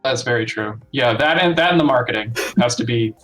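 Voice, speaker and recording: A man's voice: medium pitch, brisk, a bit bright, soft background music, low-quality recording. A man chatting casually, with a teasing way of talking. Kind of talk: casual chat.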